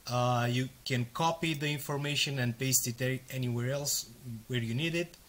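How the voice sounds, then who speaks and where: A man in his thirties, a native Romanian speaker, casually walking through a software tutorial step by step with frequent ums and uhs. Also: medium pitch, moderate pace. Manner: casual, explains step by step with fillers. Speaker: software demo presenter. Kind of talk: screen-recorded tutorial walkthrough.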